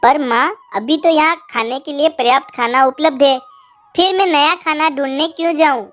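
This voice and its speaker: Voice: high-pitched, moderate pace, fairly bright tone, soft background music. A performer voicing a young male calf in a cartoon fable, plaintive and emphatic. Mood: resentful complaint. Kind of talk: cartoon animal fable dialogue.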